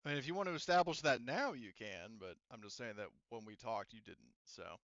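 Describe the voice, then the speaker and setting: Man, game master, crisp smooth voice, thinking out loud, dry close mic, slightly dull voice-chat sound. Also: mid-range voice, fairly quick, no room sound. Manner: thinking aloud step by step. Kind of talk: running an online tabletop roleplaying game.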